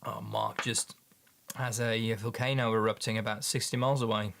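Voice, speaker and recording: A man's voice: on the low side, level tone, creaky, a bit bright. Dutch-accented male streamer, casual, stop-start delivery. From Netherlands. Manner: halting, repeats words, many ums. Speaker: livestream host, paint maker. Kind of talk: casual livestream chat with viewers.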